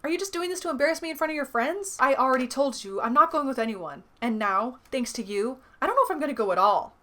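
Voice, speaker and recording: Sulky, awkward schoolboy read by a woman, bright and defensive, storybook teen drama. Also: high-pitched, animated, quick pace, pitched above the performer's own voice. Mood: exasperated. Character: awkward, wounded middle-school boy.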